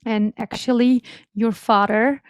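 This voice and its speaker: A woman hosting a podcast interview, her voice set a little high. She asks her questions gently and encourages her guest, in a close, dry recording. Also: steady tone, measured pace, dry recording. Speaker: podcast host.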